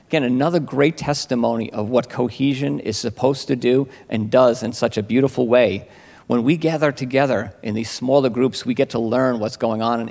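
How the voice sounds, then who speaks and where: A man's voice: medium pitch, creaky, a little mellow. A man giving a grateful, heartfelt testimony to a church congregation, speaking with some hesitant fillers, in a reverberant sanctuary.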